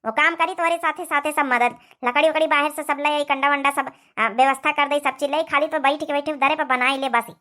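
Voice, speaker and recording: A woman voicing an Indian village family woman in a cartoon comedy drama, with an Awadhi accent and expressive rustic speech. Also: sits high, fairly quick, hardly any pauses. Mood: bossy, affectionate. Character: village women of an Indian family: mothers-in-law and young daughter-in-law.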